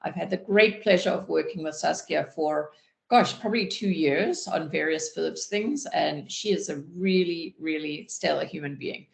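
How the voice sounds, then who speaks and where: A woman hosting an online panel call, welcoming people in an unhurried way with some fillers. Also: sits low, vocal fry, reverberant. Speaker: community host and moderator. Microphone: video call.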